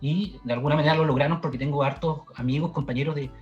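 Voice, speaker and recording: Halting Chilean man, muffled audio. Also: fairly high pitch, steady tone, soft background music. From southern Chile. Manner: halting, many restarts and fillers. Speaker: sociologist guest. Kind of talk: conversational interview answer.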